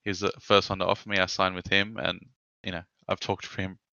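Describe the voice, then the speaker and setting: An Australian man with a very low, flat voice that sounds bright and clear. He asks questions in a relaxed, easygoing way. The recording is dry and a little muffled. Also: quick pace, no echo. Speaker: interviewer. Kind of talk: casual interview chat.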